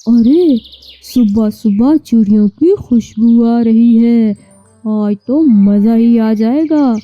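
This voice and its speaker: A woman voicing a gloating, man-eating cartoon eagle with slow, drawn-out menace. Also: a little high, no room sound, lo-fi sound, soft background music.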